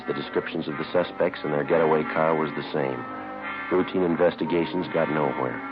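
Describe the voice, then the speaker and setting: An American man playing a stoic police detective, narrating in a terse, deadpan, clipped way in a 1950s radio crime drama. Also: very deep voice. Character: stoic, matter-of-fact male police detective. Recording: muffled vintage radio recording, music behind.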